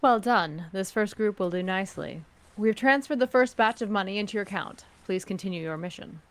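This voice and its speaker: A woman playing a ruthless, icy crime syndicate boss in a dramatic script read, imperious, deliberate and menacing. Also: mid-range voice, lightly acted. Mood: cool, commanding. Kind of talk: fan script table read, dramatic acting.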